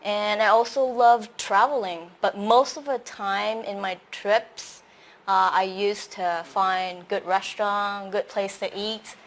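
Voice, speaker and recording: A young woman with a Vietnamese accent hosts a live-stream English lesson at an unhurried pace. She chats easily and fills her pauses with soft "uh" and "um" sounds. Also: medium pitch, fairly even intonation, low-quality recording. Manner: chatty, full of filler sounds. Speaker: host.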